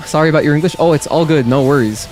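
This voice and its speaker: A man's voice: on the high side, very fast, a little mellow, faint music behind. A man whose first language is Spanish, talking casually and in a friendly way to viewers during a live gaming stream, with many fillers. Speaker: gaming livestreamer. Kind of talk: casual live-stream chat while playing.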